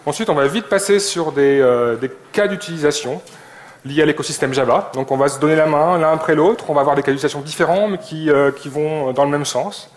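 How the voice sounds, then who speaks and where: A man's voice: fairly high pitch, a little fast. A middle-aged man giving an informal technical conference talk in hesitant, piecemeal phrases. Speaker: conference speaker. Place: indoor conference venue.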